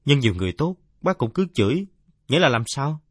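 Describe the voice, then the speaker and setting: Man playing a polite, persistent boy, raised pitch. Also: very animated, fast, slightly rough, no room sound, clean studio sound. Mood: questioning. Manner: respectful but argumentative. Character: polite, persistent young boy questioning an elder.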